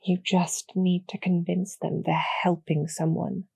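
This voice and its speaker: Calm, knowing succubus demoness played by a woman for audio roleplay: dark, mellow, low and gravelly, intimate, measured persuasion, very close dry sound. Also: no echo, full character voice. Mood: knowing, gentle. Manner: measured, deliberate, softly persuasive. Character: calm, knowing demoness succubus archer, former hero, loyal to her queen.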